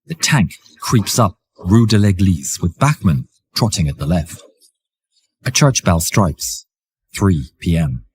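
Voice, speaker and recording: Husky, raspy male storyteller, dramatic delivery. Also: low-pitched, sing-song, slow pace, dry recording. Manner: theatrical, suspenseful storytelling. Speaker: narrator of war history stories. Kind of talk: dramatic scripted narration.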